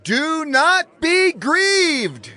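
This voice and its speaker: An actor playing a Levite exhorting and calming the people in a scripture drama, with drawn-out calls. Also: male voice, very high voice, exaggerated voice.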